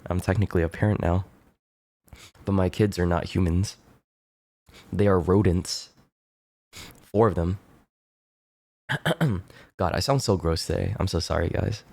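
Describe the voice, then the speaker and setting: A man with a deep, low voice talks casually to his stream audience, his nose plainly blocked so everything comes out stuffy. He rambles in a relaxed way, swears freely and keeps clearing his throat. The sound is close and dry, with no room around it. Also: measured pace, pauses often, no room sound. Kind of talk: casual chat with viewers.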